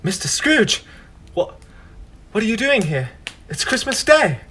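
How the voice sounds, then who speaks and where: A man's voice: high voice, sing-song, a little slow, pushed and bright, slight background noise, exaggerated voice. A teen boy playing a flustered, humble clerk. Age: teens. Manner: stammering, deferential. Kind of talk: school stage play acting.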